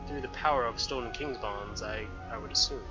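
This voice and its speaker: A man voicing an earnest, formal fantasy game character, reading theatrically and a little haltingly. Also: medium pitch, highs cut off, lightly acted. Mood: solemn explaining. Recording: game music behind the voice.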